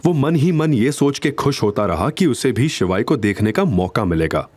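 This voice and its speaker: A man's voice: medium pitch, expressive, normal speed, a little mellow, no room sound. A man narrating a story in a dramatic, scripted audio series style.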